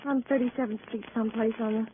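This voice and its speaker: An American actress playing an anxious, distraught young working woman in a 1940s radio drama, speaking in halting, emotional, repeated phrases. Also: on the high side, fairly even intonation, close to an everyday voice. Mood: shaken, recounting. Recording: old radio recording, narrow band, hiss.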